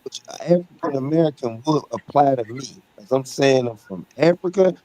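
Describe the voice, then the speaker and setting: A man's voice: mid-range voice, normal speed, dark tone, no echo, rough recording. A man on a live stream panel, talking heatedly and emphatically, often asking "right?". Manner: emphatic, repeats "right?" and "you know what I'm saying". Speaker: debate panelist. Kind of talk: heated debate talk.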